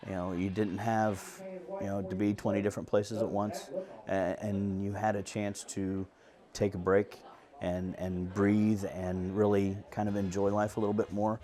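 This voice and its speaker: A man in a radio theater troupe talking in a relaxed, reflective interview. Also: fairly low pitch, moderate pace, crowd noise. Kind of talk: casual interview.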